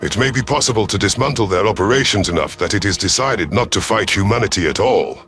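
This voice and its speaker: A man narrating a sci-fi story in character as a stern, calculating alien commander, formal and deliberate, over music. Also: lowish voice, lively intonation, fairly bright tone.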